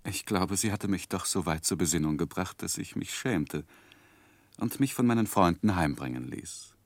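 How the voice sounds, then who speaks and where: An actor playing an earnest man looking back on his youth in a measured, reflective 1960s radio drama manner. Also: deep voice, animated, no room sound. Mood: rueful, reflective. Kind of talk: 1960s German radio drama narration and acting.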